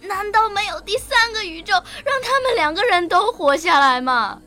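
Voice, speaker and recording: A woman's voice: extremely high pitch, expressive, moderate pace, over music. A woman playing an earnest, emotional nine-year-old girl in a radio drama, with a childlike, eager manner. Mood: curious, questioning. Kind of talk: radio drama acting with first-person narration.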